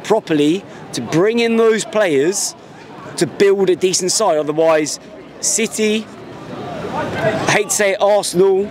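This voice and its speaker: A man's voice: sits high, measured pace, long pauses, a crowd in the background. A man in his twenties, a football fan, talking passionately and emphatically in a street interview outside a busy stadium. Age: 20s. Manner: passionate, emphatic, repeats words. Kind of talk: street vox pop interview. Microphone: handheld mic held by interviewer.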